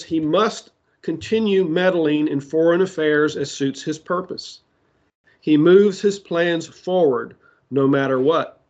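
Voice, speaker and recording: A man preaching a sermon that ties prophecy to current events, speaking deliberately with side remarks, his voice giving out. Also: on the high side, dull audio. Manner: slow, deliberate delivery with asides. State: losing his voice.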